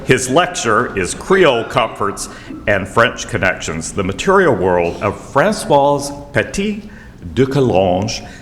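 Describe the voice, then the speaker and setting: A man's voice: mid-range voice, sing-song, takes it slowly, a bit bright. An older man with a Southern US accent introducing a speaker at a lecture, formal but full of wry, self-deprecating asides. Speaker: event host introducing the speaker. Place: lecture hall. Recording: lecture hall reverb, faint noise.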